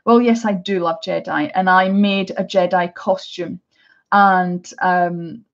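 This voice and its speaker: A woman's voice: on the low side, muffled recording. A middle-aged Scottish woman chatting casually through a live unboxing, with many hesitations and restarts. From Scotland. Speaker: art vlogger.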